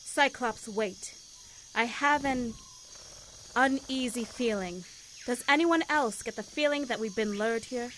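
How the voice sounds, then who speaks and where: A fairly high voice from a woman acting plays an earnest superheroine. The delivery is wary and questioning, with faint noise, in a fan-made audio drama style. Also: measured pace, a bit bright. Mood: uneasy.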